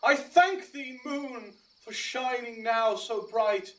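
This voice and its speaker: A woman's voice: a little high, slow, fairly bright tone, distant mic. A woman playing a hammy amateur actor in the part of a tragic lover knight, declaiming mock-tragic Shakespearean verse. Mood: adoring. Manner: overwrought, declamatory.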